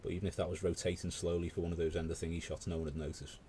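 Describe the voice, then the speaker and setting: A man's voice: low voice, flat delivery, rapid-fire, warm tone, slight background noise. A British man hosting a paint-along stream, chatting casually with rambling asides. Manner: rambling, conversational, full of asides. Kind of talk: casual chat while painting.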